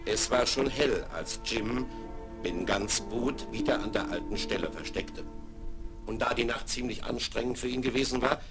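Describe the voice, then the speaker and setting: Calm male radio play narrator. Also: mid-range voice, normal speed, creaky. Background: music underneath.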